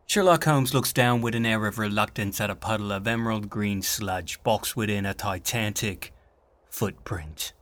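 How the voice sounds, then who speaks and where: A man's voice: on the low side, normal speed, fairly bright tone, close to an everyday voice. A man performing as the florid, dramatic narrator of an old-fashioned detective radio play. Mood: dramatic storytelling. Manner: florid, theatrical storytelling. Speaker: story narrator.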